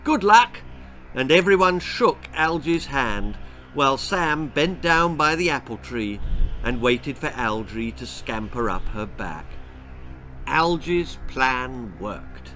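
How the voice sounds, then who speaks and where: A man's voice: mid-range voice, lively intonation, slow, bright, forceful tone, soft background music. A man with an English accent reading a children's story aloud as a dramatic storyteller. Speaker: author reading own story.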